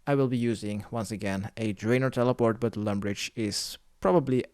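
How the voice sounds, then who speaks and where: A man's voice: on the low side, moderate pace, darkish tone, clear, resonant tone, no echo, studio quality. A man narrating a step-by-step video game guide, giving plainly worded instructions as a tutorial voice-over. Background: music.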